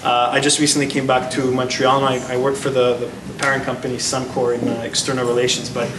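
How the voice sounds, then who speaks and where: A man's voice: mid-range voice, flat delivery, fast talker. A man in his thirties, a former athlete, answering onstage interview questions earnestly, with many restarts. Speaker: guest speaker, former athlete. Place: large gala hall. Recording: echoey hall, heard from far off, noisy.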